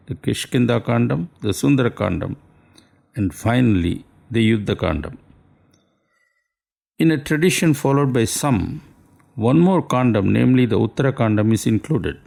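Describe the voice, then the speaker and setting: A man delivers a scripted radio talk. His voice sits at an ordinary middle pitch and carries a heavy, gravelly fry throughout. He speaks formally and unhurriedly, with careful, courteous phrasing. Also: darkish tone. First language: Tamil.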